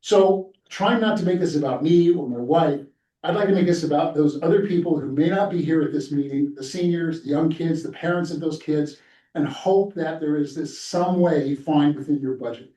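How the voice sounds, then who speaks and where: A man's voice: on the high side, normal speed, dark tone. Earnest older man giving public comment. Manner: earnest and rambling, with frequent fillers. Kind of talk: public comment at a board meeting. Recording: echoey meeting room, mic far off.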